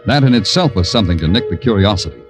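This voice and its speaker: Hardboiled American detective played by a man for vintage radio drama: low, sardonic, expressive inflection, music underneath. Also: very fast, lightly acted. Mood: wry narration. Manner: deadpan, sardonic. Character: weary, wry male big-city police detective narrating his case. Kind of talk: 1940s radio crime drama acting, hardboiled narration. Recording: old 1940s radio broadcast, music behind.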